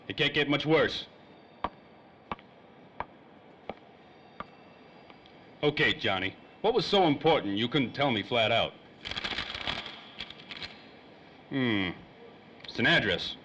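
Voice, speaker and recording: Hard-boiled gumshoe, played by a man, mid-pitched and forceful, wry slang, old radio serial with faint noise. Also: lively intonation, moderate pace. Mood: wry, musing. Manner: slangy, wry tough-guy talk. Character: hard-boiled wisecracking 1930s private eye, world-weary man. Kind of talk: old-time radio drama acting. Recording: faintly noisy old-time radio recording.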